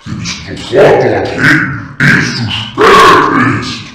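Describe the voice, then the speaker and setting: A man playing an ominous, worried dark lord and father in a comedy audio play, solemn and theatrical, with a deep growl. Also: lively intonation, slow pace, vocal fry, very noisy, heavily acted. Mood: urgent. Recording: voice in heavy echo.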